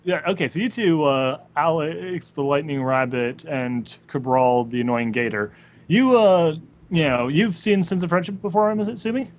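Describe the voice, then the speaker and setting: A man's voice: on the high side, lively intonation, normal speed. A man hosting a casual podcast interview, with hesitant, dry banter.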